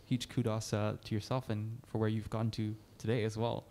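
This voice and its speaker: A man's voice: on the low side, little pitch movement, moderate pace, mellow. A young man hosting a podcast on a studio mic, encouraging his guest and asking long questions. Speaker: podcast host. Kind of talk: interview hosting. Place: bright studio room. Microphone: studio mic on a boom arm.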